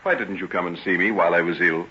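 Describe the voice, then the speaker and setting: An actor playing a troubled but kindly doctor in an old-time radio drama, speaking in a soothing, halting way. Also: male voice, deep voice, close to an everyday voice. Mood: friendly, wistful. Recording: dull vintage radio recording with noise.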